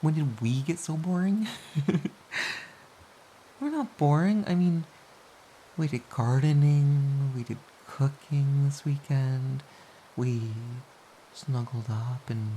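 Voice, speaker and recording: A low, mellow voice of a woman acting as a playful romantic partner snuggled up in bed. The delivery is slow, intimate and teasing, with a mock-pouty streak. Faint hiss sits behind the voice. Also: expressive, heavily acted, low-quality recording. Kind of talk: intimate audio roleplay, soft casual pillow talk.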